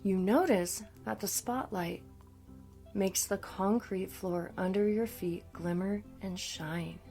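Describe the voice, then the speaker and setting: A woman coach narrating a scripted guided meditation in a soothing, deliberate manner. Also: mid-range voice, warm tone. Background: background music.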